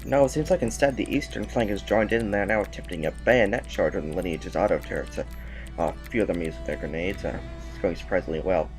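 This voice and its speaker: An actor playing an earnest, loyal military aide in an audio drama, deferential and hesitant. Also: male voice, low-pitched, fast, faint music behind, close to an everyday voice, rough recording. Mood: urgent reporting.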